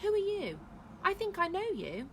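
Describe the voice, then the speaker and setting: A woman voicing a furious, panicked village mother in a storybook, shrill and accusing. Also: lively intonation, normal speed, a little hiss or hum, natural voice. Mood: suspicious.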